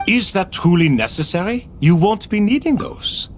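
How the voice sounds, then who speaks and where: An actor playing an aloof, calculating, secretive man in a video game, with an unhurried, dismissive delivery. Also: high voice, lively intonation, slight background noise.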